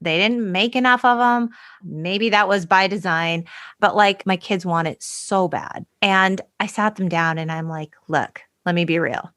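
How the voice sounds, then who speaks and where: A woman's voice: on the low side, unhurried, a bit bright. A middle-aged woman co-hosting a podcast, chatting casually and recounting researched facts like a storyteller. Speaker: podcast co-host. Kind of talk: casual chat.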